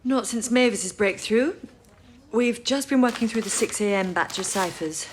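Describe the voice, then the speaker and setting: An actress playing a kind, practical wartime codebreaker in an audio drama, supportive and gently wry. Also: female voice, fairly high pitch, moderate pace, a little hiss or hum, close to an everyday voice. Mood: excited, astonished.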